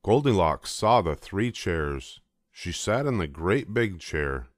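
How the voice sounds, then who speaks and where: A man's voice: low voice, sing-song, slow pace, no echo, studio quality. An older man reading a children's storybook aloud as scripted narration. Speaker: storybook reader.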